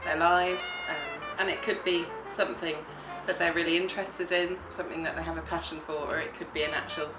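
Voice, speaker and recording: Woman coordinator, low voice, earnest, roomy room, music bed. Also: fairly even intonation, a little fast, hardly any pauses. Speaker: project coordinator. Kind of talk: documentary interview.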